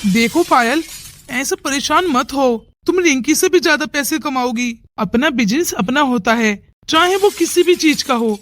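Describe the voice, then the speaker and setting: A performer as a high-voiced earnest young cartoon man. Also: expressive, moderate pace, fairly bright tone, a little hiss or hum. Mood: insistent, reassuring. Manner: insistent, reassuring. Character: earnest, persuasive young village man, Rohan. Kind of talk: Hindi cartoon moral-story dialogue. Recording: music behind the dialogue.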